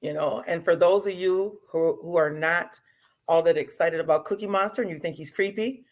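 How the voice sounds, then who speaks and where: A woman's voice: on the low side, moderate pace. A woman in her fifties, talking casually as a guest and repeating herself for emphasis. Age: 50s. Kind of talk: casual chat.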